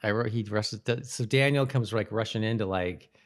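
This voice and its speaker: Male American podcast co-host, lower-pitched, informal and loosely phrased, conversational recap. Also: moderate pace. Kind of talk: casual chat recapping a TV episode.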